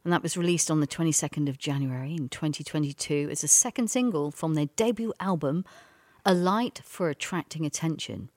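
A woman's voice: deep voice, brisk, darkish tone, no echo. A woman co-hosting a podcast, chatty, teasing and effusive in casual music talk. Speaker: podcast co-host.

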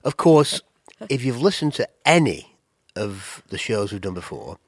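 A man's voice: lowish voice, very animated, a little slow, slightly rough, no echo, studio quality. A man with a cold and a nasal voice, chatting casually about music as a podcast co-host, rambling with restarts. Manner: rambling, with many restarts and fillers.

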